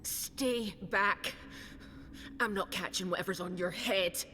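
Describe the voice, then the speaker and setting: A scornful captive in reverb. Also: female voice, medium pitch, slow pace, sharp tone. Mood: disgusted. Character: blunt, suspicious female captive, scornful and rude. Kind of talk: fantasy video game voice acting. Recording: long reverb, noisy game ambience.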